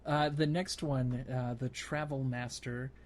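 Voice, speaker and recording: A man hosting a casual gaming podcast, talking in a halting way as he thinks aloud. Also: medium pitch, fairly even intonation. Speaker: podcast host.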